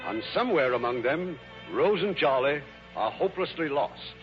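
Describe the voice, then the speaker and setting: A man's voice: medium pitch, lively intonation, moderate pace. A man as host and narrator, giving scripted, dramatic 1950s radio narration. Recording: muffled vintage radio broadcast. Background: music.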